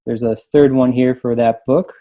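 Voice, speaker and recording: A man's voice: medium pitch, steady tone, normal speed, phone-quality sound. A man chatting casually on a book panel and reading short written reviews aloud.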